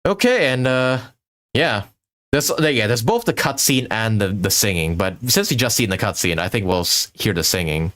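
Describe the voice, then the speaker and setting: A man's voice: fairly low pitch, lively intonation, moderate pace, a bit bright. A man giving casual livestream game commentary, rambling and enthusiastic, with casual swearing. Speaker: game streamer. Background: game music.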